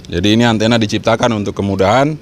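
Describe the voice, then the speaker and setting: A man's voice: fairly low pitch, steady tone, racing, slight background noise. A man giving an informal instructional vlog talk, encouraging and repeating his points as he explains. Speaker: amateur radio vlogger and trainer.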